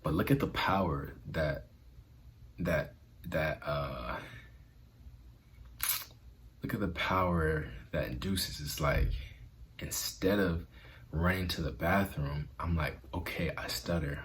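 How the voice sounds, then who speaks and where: Man, very deep voice, stutters, earnest chatty vlog, faint hiss. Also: slow pace, long pauses, fairly bright tone. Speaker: vlogger. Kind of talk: motivational vlog talk.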